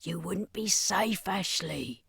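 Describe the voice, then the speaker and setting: A woman voicing a world-weary but tender lady guardian in a narrated story, speaking with soft regret. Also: fairly low pitch, animated, slow pace, creaky, exaggerated voice. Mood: tender, sorrowful.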